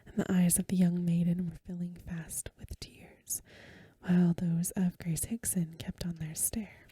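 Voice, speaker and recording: A woman ASMR host reading a story in a gentle, hushed, breathy whisper, close to the microphone. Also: lowish voice, flat delivery, measured pace, dry recording.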